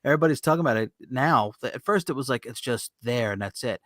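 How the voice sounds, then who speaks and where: A man's voice: medium pitch, lively intonation, fast, a bit bright, no echo. Man co-hosting a podcast, dry close sound. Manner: informal, conversational. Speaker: podcast co-host. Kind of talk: casual chat.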